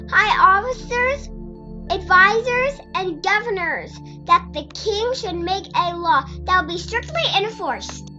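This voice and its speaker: A performer voicing a scheming royal official in a dramatised Bible reading, declaiming flattery in drawn-out phrases over muffled audio. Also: very high voice, full character voice. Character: scheming royal officials addressing the king, high shrill voices. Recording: muffled audio with music behind.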